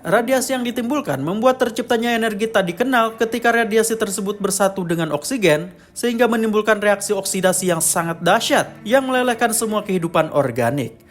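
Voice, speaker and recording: A man narrating a scripted explainer of a horror story. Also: high-pitched. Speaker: narrator of a film lore channel.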